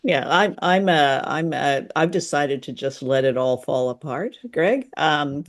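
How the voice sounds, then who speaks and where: A woman hosting a casual literary podcast, speaking haltingly as she thinks aloud. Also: deep voice. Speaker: podcast host.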